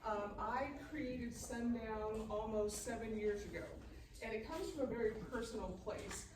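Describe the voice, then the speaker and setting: A woman's voice: a little high, a little slow. A woman writer presenting at a live table read. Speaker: scriptwriter and presenter. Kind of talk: introduction and screenplay narration read aloud. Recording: noisy echoey room, distant mic.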